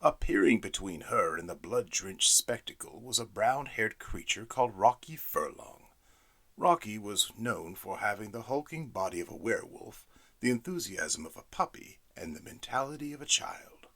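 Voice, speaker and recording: A man reading a spooky storybook narration in a playful, expressive way. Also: lowish voice, moderate pace, slightly rough.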